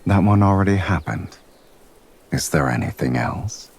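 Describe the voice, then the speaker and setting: An actor playing a proud, teasing and quietly affectionate crime boss in a romance game, speaking unhurriedly over guitar music. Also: male voice, low-pitched, lively intonation, unhurried, vocal fry, breathy edge, exaggerated voice.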